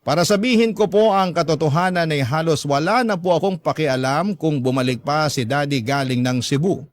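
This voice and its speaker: Higher-pitched Filipino male storyteller. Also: normal speed. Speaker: radio host reading a listener's story. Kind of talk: dramatic first-person story narration.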